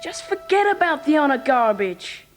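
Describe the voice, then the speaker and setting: A woman's voice: high voice, very animated, moderate pace, faint music behind. A woman playing an earnest, loyal teenage boy in an audio drama, pleading and urgent. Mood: pleading, upset. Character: earnest, anxious American teenage boy, loyal karate pupil.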